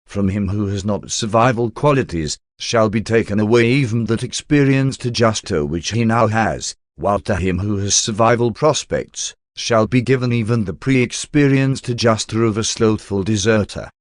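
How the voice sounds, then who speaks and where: A man reading a spiritual text as an audiobook narrator in a formal, measured and solemn manner. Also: on the low side, no echo, studio quality.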